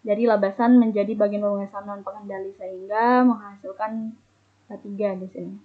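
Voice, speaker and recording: A woman's voice: mid-range voice, fairly even intonation, a little fast. A young adult woman presents as a student, explaining slides step by step and stumbling a little over figures. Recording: muffled, phone-like narrow band.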